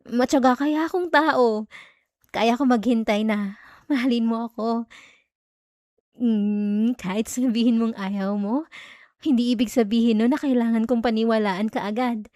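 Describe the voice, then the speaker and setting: A woman's voice: on the high side, steady tone, measured pace, pauses often, a little mellow, no echo, studio quality, lightly acted. A woman with a Filipino accent playing an obsessive, possessive stalker in an intimate ASMR roleplay, coy, teasing and sweetly menacing. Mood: coaxing, possessive. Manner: coy, teasing, giggly, lingering over words.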